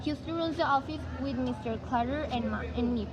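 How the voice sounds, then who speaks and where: A woman playing an excitable, chatty, dreamy young girl in an amateur dramatic reading, with eager delivery. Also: high-pitched, fairly quick, lightly acted. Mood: reflective narrating. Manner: eager, exclaiming.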